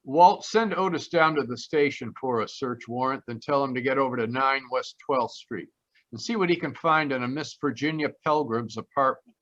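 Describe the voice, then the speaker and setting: Man playing a bright-voiced wisecracking private eye. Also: medium pitch, normal speed, close to an everyday voice. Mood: breezy, teasing. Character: wisecracking, cocky male private detective. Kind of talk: old-time radio noir narration and dialogue. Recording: dull online video-call audio.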